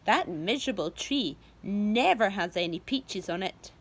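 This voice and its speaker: A woman voicing a fat, greedy aunt who speaks in a lower, slow, drawling voice. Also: expressive. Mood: scoffing.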